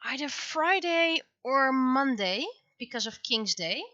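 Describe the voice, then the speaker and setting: A bright-voiced woman thinks aloud on a casual art livestream, speaking slowly and haltingly, half to herself. The sound is very dry and a little dull. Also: high-pitched, lively intonation, no room sound. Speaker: livestreaming webcomic artist. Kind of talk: casual livestream chat while working.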